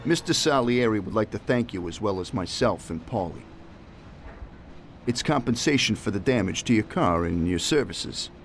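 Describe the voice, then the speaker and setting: An actor playing a courteous, businesslike mobster in a video game. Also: male voice, on the low side, animated, normal speed, over music, lightly acted. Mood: calm, courteous.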